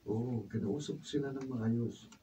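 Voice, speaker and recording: An actor playing a troubled, sensible and earnest friend in a Filipino radio drama, with a Filipino accent. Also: male voice, medium pitch, a little slow, mellow, lightly acted. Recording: distant mic, faint room noise.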